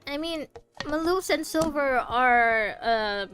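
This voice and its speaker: A woman's voice: high-pitched, expressive, takes it slowly, a bit bright. A woman giving casual commentary as she plays a video game, with wry, playful asides. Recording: game music behind the voice.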